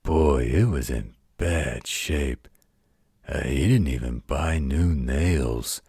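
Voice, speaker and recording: An extremely deep, husky werewolf played by a man tells a bedtime story in an audio roleplay. He is gruff but protective and tender, taking his time with a folksy, wry delivery. The sound is close and dry. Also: no echo, full character voice. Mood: folksy storytelling. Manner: folksy, unhurried, gently wry.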